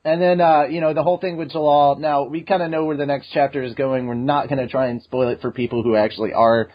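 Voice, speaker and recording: A podcast host leading a casual chat in an excitable, rambling way. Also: medium pitch, fast talker, highs cut off.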